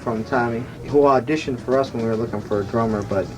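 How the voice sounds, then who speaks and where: A man's voice: mid-range voice, quick pace, low-quality recording. A man who plays guitar, talking casually as an interview guest on an old 1980s radio recording.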